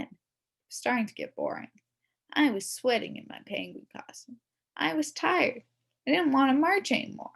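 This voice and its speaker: A woman reads a children's storybook aloud with hugely swooping intonation. Her voice sits on the high side, with some creak and a slightly husky edge, and she stretches key words for dramatic effect. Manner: expressive, dramatic emphasis with drawn-out words. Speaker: storybook reader. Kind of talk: animated children's story read-aloud, first-person as a kid.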